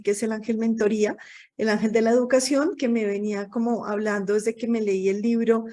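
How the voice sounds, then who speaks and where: A Colombian woman in her forties speaks as a guest in a casual online interview. Her voice is soft-edged and mellow, a little high, and she wanders and corrects herself as she tells her own story. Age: 40s. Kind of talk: casual autobiographical talk. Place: online video call.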